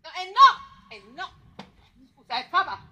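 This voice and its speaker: An actress playing a bewildered, protesting Roman commoner, exclamatory and questioning, in stage dialect. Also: female voice, extremely high pitch, sing-song, unhurried, bright, forceful tone, lo-fi sound, a little hiss or hum, heavily acted. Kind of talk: theatrical dialect dialogue.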